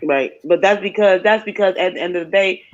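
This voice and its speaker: A man's voice: high voice, fairly quick, muffled recording. A man chatting casually on a panel in a laid-back way, often laughing as he talks. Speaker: podcast panelist. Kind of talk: casual chat.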